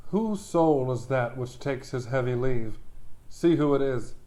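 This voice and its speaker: A man's voice: medium pitch, very animated, takes it slowly, warm tone. Man playing a wildly swooping, unforgiving prince. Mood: startled. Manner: dramatic, swooping delivery. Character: sharp-tongued, unforgiving young medieval prince. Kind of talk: Shakespearean audio drama acting.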